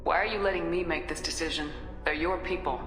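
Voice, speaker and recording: Direct, commanding starship officer. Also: female voice, sits low, fairly even intonation, fast, fairly bright tone, roomy sound, in a large hall, soft background music. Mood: probing, resolute. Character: decisive, questioning female military commander of a starship. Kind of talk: video game voice acting.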